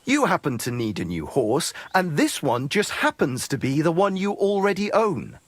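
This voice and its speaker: A stubborn husky horse dealer in a medieval game, sarcastic, real dry close sound. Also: male voice, fairly high pitch, big pitch swings, normal speed, dry recording. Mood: smug, dismissive. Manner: blunt, sardonic, unyielding. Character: gruff, hard-bargaining medieval horse trader, man. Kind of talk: video game dialogue acting.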